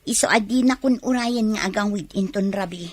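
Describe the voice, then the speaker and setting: A woman's voice: medium pitch, brisk, lightly acted. A woman with an Ilocano Filipino accent playing a defiant young wife with secret plans in a radio drama. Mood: defensive.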